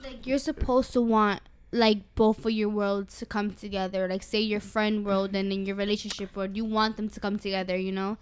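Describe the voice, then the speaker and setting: A woman's voice: medium pitch, fairly even intonation, normal speed, few pauses, clear, resonant tone. A teenage girl co-hosting a casual student panel chat, explaining her views at length in an earnest way. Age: teens. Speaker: student co-host.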